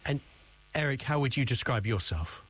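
A man presenting a news segment and interviewing guests, measured and formal. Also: medium pitch, animated, fairly bright tone, husky. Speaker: radio interviewer.

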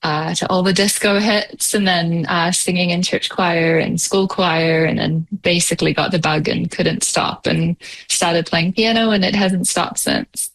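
A woman's voice: fairly low pitch, fairly even intonation, unhurried, a bit bright, rough recording. A young woman musician from New Zealand with a mixed New Zealand and Canadian accent, chatting casually in an interview with lots of fillers and laughs. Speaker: musician guest.

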